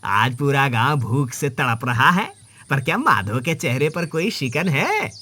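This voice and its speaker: Man acting a forceful, scheming village villain. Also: mid-range voice, sing-song, a little slow, exaggerated voice. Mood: accusing. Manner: forceful, insinuating, rabble-rousing. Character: scheming village moneylender rousing neighbours with lies. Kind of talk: Hindi moral cartoon story acting.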